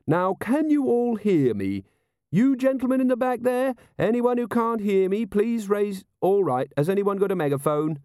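A man's voice: a little high, lively intonation, moderate pace, darkish tone, dry recording, clean studio sound, voice pushed up, lightly acted. A man voicing an earnest, polite, literal-minded young watch officer who sounds respectful and guileless. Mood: calling out.

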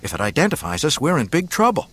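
A man's voice: a little high, wildly swooping pitch, quick pace, a bit bright, a little hiss or hum, voice pushed up. Man acting an earnest, uneasy young hero. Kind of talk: audiobook character acting.

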